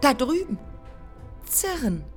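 A woman's voice: high voice, very animated, slow pace, in a large hall, heavily acted. An actress playing a dreamy, stubborn 1920s woman aviator in a poetic radio drama, with terse, lyrical, insistently repeated phrases. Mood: wondering. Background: music under the voice.

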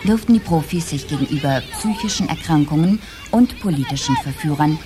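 Low-voiced sober female consultant. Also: animated, a little fast, crowd noise. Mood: sober, factual. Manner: formal, matter-of-fact. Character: sober female consultant presenting a scientific report. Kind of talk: scripted German radio drama, report reading.

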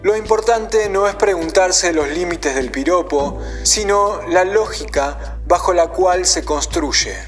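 A man narrating a radio documentary, reading a script in a measured way. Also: high-pitched, soft background music.

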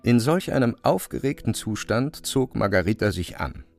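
Ein Schauspieler spricht in einem Hörspiel den leidenschaftlich beteiligten Autor-Erzähler, theatralisch, rhetorisch und mit dramatischen Pausen. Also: male voice, fairly low pitch, lively intonation, creaky, darkish tone, faint music behind. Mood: measured narration. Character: male author-narrator, passionately involved storyteller. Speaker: narrator. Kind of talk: literary radio drama narration.

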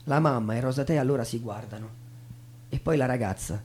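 A man's voice: mid-range voice, a little fast, a little hiss or hum. A man narrating in a theatrical spoken storytelling style, as in a stage show. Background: soft guitar music.

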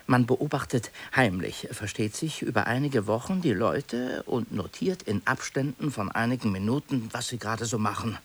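An actor playing a nosy, uneasy city office clerk in a radio play, chatty and politely probing. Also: male voice, medium pitch, a bit bright, husky. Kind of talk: German radio play acting, naturalistic dialogue.

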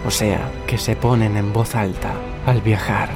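A dreamy, earnest young male poet in a radio drama. His voice is on the low side and reflective, with loud music underneath. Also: little pitch movement, measured pace. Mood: earnest, poetic. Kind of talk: radio fiction acting.

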